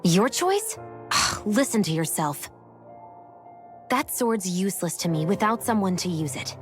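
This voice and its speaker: A woman's voice: medium pitch, expressive, a little slow, faint music behind, close to an everyday voice. A woman as a curt, cold young heroine. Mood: curt, exasperated. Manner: curt, scornful. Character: cold, sharp-tongued, single-minded young woman on a mission. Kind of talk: video game cutscene acting.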